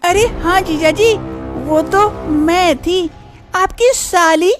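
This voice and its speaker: A woman's voice: very high voice, slow, music in the background. A woman voicing teasing twin sisters. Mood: playful. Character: young wife and scheming twin sister.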